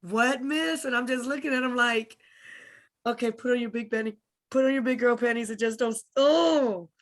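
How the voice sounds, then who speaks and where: A woman's voice: a little high, normal speed. A woman from Corpus Christi, Texas, a teacher chatting in a class discussion and telling stories that act out the people in them. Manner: chatty, tells anecdotes acting out others. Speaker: teacher in training.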